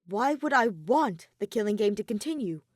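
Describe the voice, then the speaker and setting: A woman's voice: medium pitch, animated, normal speed, dry recording, natural voice. A woman voicing a stubborn, weary teenage boy in a dramatic audiobook reading, earnest and incredulous. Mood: incredulous, defiant. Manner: earnest, protesting, dry.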